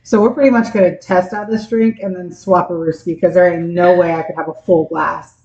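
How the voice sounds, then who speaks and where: A woman co-hosting a casual podcast at a home kitchen table, with a deep voice and vocal fry, talking bluntly and swearing freely. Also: steady tone, a little fast, reverberant, highs cut off, crowd noise. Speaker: podcast co-host. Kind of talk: casual chat.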